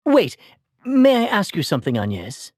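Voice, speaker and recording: Breathy, brooding young knight. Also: male voice, sits high, wildly swooping pitch, a little mellow, no room sound, clean studio sound, exaggerated voice. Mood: questioning. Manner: formal, dramatic, halting. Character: troubled, gallant young male knight, earnest and brooding. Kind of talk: video game voice acting.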